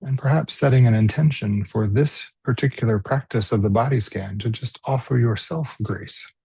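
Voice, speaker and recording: A man leading a guided body scan meditation as a teacher, speaking gently and unhurriedly with pauses. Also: medium pitch, breathy edge, dry recording.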